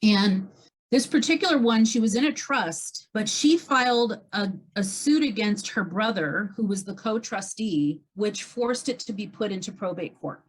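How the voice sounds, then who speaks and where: A woman's voice: medium pitch, lively intonation, moderate pace, husky, poor audio. A woman speaking casually as a real estate agent, laying out a case to a coach. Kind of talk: casual conversational case recounting.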